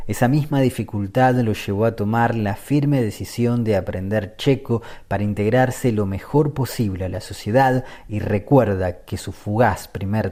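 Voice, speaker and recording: Low mellow male radio narrator. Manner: measured, read-aloud delivery. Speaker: radio podcast host and narrator.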